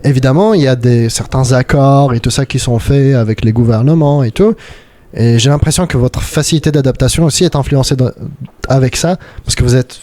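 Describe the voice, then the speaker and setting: A man's voice: medium pitch, fairly quick, warm tone. A young man hosting a podcast, talking in a thoughtful, casual way and often restarting his sentences. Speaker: podcast host. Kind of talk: casual chat.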